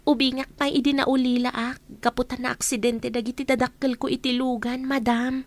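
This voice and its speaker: A humble, gentle young housemaid, played by a woman in a radio drama. Her voice sits high and stays deferential. The recording is close and dry. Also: flat delivery, normal speed, no room sound, natural voice. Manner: polite, deferential. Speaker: new housemaid.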